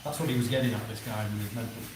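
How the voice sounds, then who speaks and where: A man telling casual anecdotes in relaxed conversation. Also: lowish voice, rapid-fire. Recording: echoey room, clear background noise.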